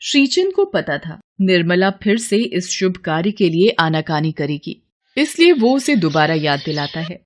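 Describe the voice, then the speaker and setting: A woman's voice: on the low side, big pitch swings, normal speed. A woman narrating a scripted devotional story as a storyteller.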